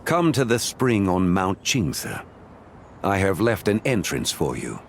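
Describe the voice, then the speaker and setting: A man voicing an ancient, dignified god in a video game, calm, formal and scholarly in his measured delivery. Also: low-pitched, a little hiss or hum, exaggerated voice. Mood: calm, solemn. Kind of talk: English video game voice acting.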